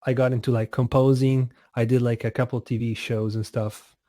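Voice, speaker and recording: Man, twenties, from Italy, guest producer, casual, dark mellow tone, little pitch movement. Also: brisk, dry recording, clean studio sound. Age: 20s. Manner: relaxed, laughs often, hesitant fillers. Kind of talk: casual interview over a call.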